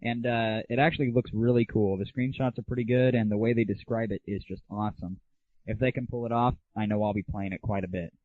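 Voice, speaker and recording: A man's voice: lowish voice, fairly even intonation, fairly quick, no echo. A man hosting a gaming audio show, giving casual, slangy, opinionated and irreverent reviews. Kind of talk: casual solo rant and game review. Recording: narrow, phone-like 1990s internet audio.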